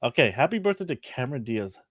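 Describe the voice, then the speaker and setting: A man with a raspy, under-the-weather voice hosting a casual panel chat. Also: mid-range voice, big pitch swings, quick pace, no room sound. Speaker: host.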